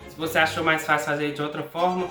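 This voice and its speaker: Brief: Brazilian man, nasal and congested from a cold, slightly high voice, patient math tutor reading out the arithmetic in an echoey room. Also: little pitch movement, sharp tone, far from the mic, soft background music. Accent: Brazilian Portuguese. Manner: patient, talks through arithmetic aloud slowly. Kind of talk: step-by-step exam problem walkthrough.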